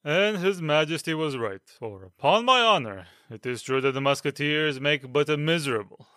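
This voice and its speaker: Brief: male actor as an irate musketeer captain for an audiobook drama, sarcastic and sharp, higher voice, dry close recording. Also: big pitch swings, slow, no echo, heavily acted. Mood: angry scolding. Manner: angry, ironic scolding with sudden shouts.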